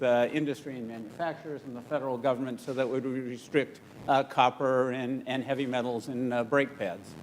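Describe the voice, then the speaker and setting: A man from California, a state environmental official, giving a press statement in a halting way with many fillers. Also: mid-range voice, a little hiss or hum.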